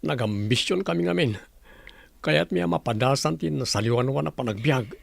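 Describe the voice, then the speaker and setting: An actor playing a troubled grown son in an Ilocano radio drama, speaking earnestly and emotionally. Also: male voice, mid-range voice, moderate pace, vocal fry, dry recording. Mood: remorseful. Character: troubled, remorseful grown son of a village family.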